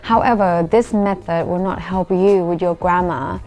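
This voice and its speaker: Young woman, Thai accent, lowish voice, earnest vlogger explaining to camera. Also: fairly even intonation, unhurried, a little mellow, soft background music. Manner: earnest, explanatory, self-deprecating. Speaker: YouTuber. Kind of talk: talking to camera.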